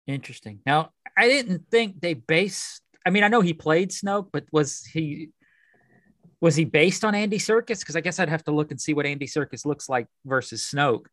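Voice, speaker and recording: A man's voice: a little high, moderate pace, a bit bright, no echo, studio quality. A man co-hosting a podcast, talking casually and a little tentatively about films. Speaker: podcast co-host.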